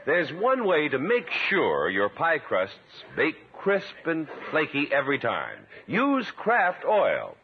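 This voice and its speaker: A man's voice: a little high, huge pitch swings, takes it slowly, hardly any pauses, slight background noise. A man reading scripted announcements and ads as a 1950s radio announcer. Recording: tinny 1950s radio recording, music.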